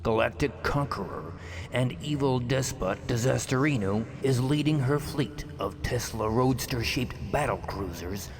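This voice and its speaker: A man's voice: mid-range voice, lively intonation, measured pace. A man narrating a sci-fi parody as a portentous storyteller, with heavy reverb. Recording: long reverb, faint noise.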